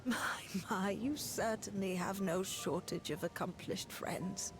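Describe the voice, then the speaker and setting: A woman voicing a gentle, affectionate older aunt in a video game cutscene, speaking softly, breathily and tenderly. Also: medium pitch, over music, natural voice. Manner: soft, breathy, unhurried, tender.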